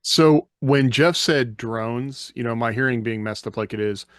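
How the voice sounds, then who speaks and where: A man's voice: mid-range voice, unhurried, dry recording. Guy w medium voice, podcast panelist, conversational, dry audio. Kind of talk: casual panel discussion.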